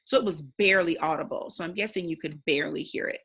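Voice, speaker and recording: A woman's voice: low voice, fast talker, a bit bright, husky. A woman reading a storybook aloud expressively, in the instructive, simple manner of a teacher with young listeners.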